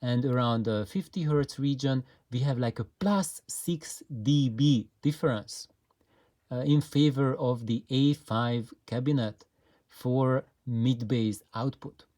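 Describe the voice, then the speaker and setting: A man who designs loudspeakers, methodically explaining his own design while walking through frequency response graphs step by step. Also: mid-range voice, takes it slowly.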